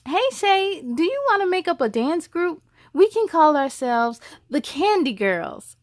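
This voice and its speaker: A woman voicing a bubbly, confident little schoolgirl from a children's storybook, eager and excited. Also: very high-pitched, unhurried, dry recording, clean studio sound, pitched above the performer's own voice, full character voice.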